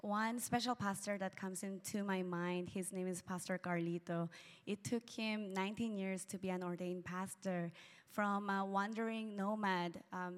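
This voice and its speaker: Earnest woman speaking in a reverberant hall. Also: medium pitch. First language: Tagalog. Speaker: missionary. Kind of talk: church mission presentation.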